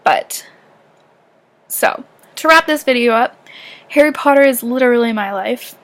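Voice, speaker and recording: A woman's voice: a little high, unhurried, a bit bright. A young woman from southern Ontario with a light Canadian accent, rambling through a casual personal vlog. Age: young adult. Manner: rambling, heavy use of fillers like "um" and "like". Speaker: vlogger.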